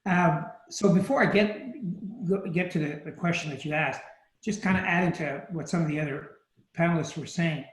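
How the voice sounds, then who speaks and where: A man's voice: fairly high pitch, normal speed, echoey room, poor audio. A man in his late sixties on a video call panel, frankly telling a story about himself. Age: 60s.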